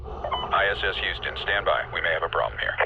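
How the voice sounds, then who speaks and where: An actor playing a measured, procedural space mission controller over a radio in a video game. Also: male voice, deep voice, expressive, vocal fry. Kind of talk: radio communication, game acting. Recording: dull, noisy radio link.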